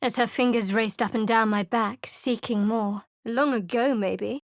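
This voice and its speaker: Wry cleric girl, dry and guarded, kinda high voice, really dry close mic. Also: measured pace, no room sound. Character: guarded sardonic young woman cleric. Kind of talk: video game character voice lines. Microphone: close studio mic.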